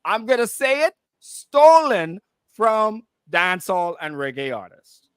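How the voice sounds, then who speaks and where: Male radio co-host. High-pitched, casual, halting as he restates points, in a dry studio. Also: very animated, clean tone, no room sound. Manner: halting, repeats and rephrases his points. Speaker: radio talk show co-host.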